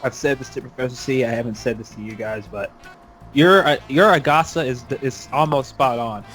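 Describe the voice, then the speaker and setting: A man's voice: medium pitch, a little fast, a bit bright, rough recording. Male podcast host, mid-range voice, casual conversational delivery, music underneath. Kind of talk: casual roundtable podcast talk.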